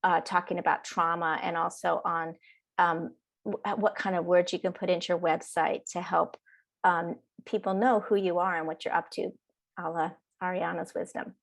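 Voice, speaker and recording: A woman's voice: on the low side, little pitch movement, moderate pace, creaky. A woman, a social worker, leading an online workshop in a rambling, casual way, full of restarts.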